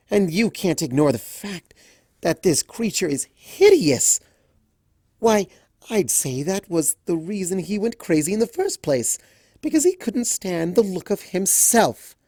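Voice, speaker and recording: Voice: medium pitch, big pitch swings, takes it slowly, a little mellow, no echo, studio quality, voice pushed up. A reader voicing a haughty, spiteful female crystal pony with a sneering, cutting delivery. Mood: spiteful scorn.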